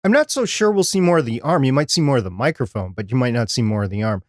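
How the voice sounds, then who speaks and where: A man's voice: medium pitch, lively intonation, fast, dry recording. Male podcast co-host, dry studio sound. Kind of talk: casual live podcast discussion.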